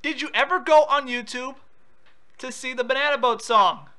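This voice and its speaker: This is a high-pitched prank caller with a piercingly bright, forceful sound. The delivery is confrontational, pressing the other person with insistent questions on a call. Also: moderate pace.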